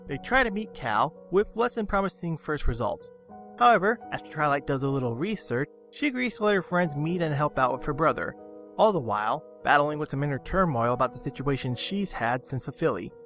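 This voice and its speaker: A man's voice: on the high side, expressive, a little fast, a bit bright. A man reading a scripted review as a video reviewer. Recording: music under the voice. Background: background music.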